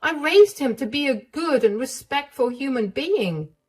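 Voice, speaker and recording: Story read: a woman playing an older mother-in-law, higher-pitched, warm and fiercely protective. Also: animated, moderate pace. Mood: indignant. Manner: warm, reassuring, indignant.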